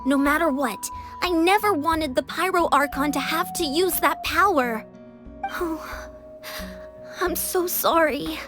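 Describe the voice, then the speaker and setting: Anxious, high-voiced young girl warrior. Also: slow pace, music in the background. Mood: remorseful. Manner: apologetic, worried. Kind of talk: video game voice acting.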